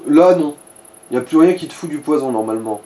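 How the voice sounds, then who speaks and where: A man's voice: on the high side, animated, fairly quick, warm tone, low-quality recording. A man giving casual, laid-back game advice to a friend in crude slang. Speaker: let's play co-commentator.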